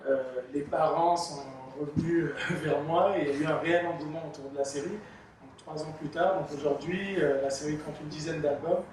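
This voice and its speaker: A middle-aged man from the Republic of the Congo talks in a measured, hesitant way, pausing on fillers, his voice a little higher than most men's. He sits some way off the mic in a very echoey room, with faint noise underneath. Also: fairly even intonation, distant mic. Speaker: author and illustrator guest. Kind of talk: radio interview answers.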